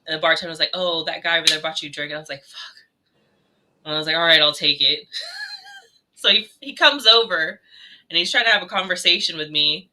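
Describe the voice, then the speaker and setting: A young woman with a strikingly bright, sharp tone talks loosely on a podcast. She tells stories freely, swears casually and acts out what other people said. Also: low-pitched, quick pace, slight background noise. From California. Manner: storytelling, swears casually, mimics others in quotes. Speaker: podcast co-host. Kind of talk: casual chat.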